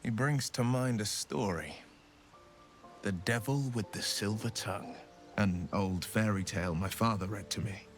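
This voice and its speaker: A man playing a noble, earnest adventurer in a fantasy video game, speaking with measured, theatrical eloquence. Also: lowish voice, soft background music. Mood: earnest, reflective. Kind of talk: dramatic fantasy game storytelling.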